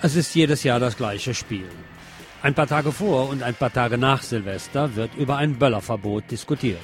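Male presenter, mid-pitched voice, reading scripted news commentary with music underneath. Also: normal speed.

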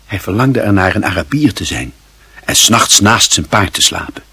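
A man narrating a literary radio drama in a solemn, measured and expressive manner. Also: fairly low pitch, breathy edge, no echo, heavily acted.

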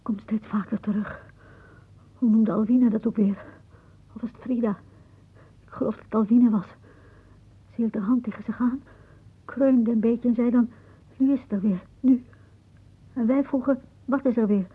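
An actress playing a frail, anxious, possessive old aunt in a radio play, with a plaintive, wistful delivery. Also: female voice, on the high side, steady tone, normal speed, pauses often, husky. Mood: wistful, remembering. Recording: muffled, narrow-band old radio recording.

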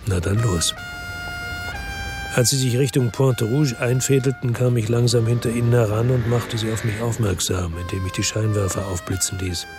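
An actor playing a fatalistic, resolute hard-boiled man who narrates his revenge tersely in a radio drama, with a gravelly voice. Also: on the low side, fairly even intonation, normal speed, close to an everyday voice. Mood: brooding, resolute. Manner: terse, brooding. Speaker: first-person narrator. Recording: music under the voice.

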